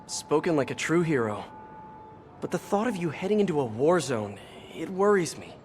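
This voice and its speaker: A man's voice: fairly high pitch, big pitch swings, moderate pace, slight background noise. An actor playing a young, good-hearted American superhero in a video game, earnest and quippy as he talks. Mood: tender, worried. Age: young adult. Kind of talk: video game voice acting, talking to himself. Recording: loud music behind the voice. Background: game music.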